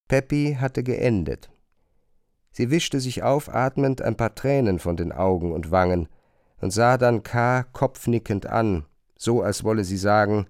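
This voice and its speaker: A man's voice: lowish voice, darkish tone, dry recording, studio quality. A man narrating a literary radio play.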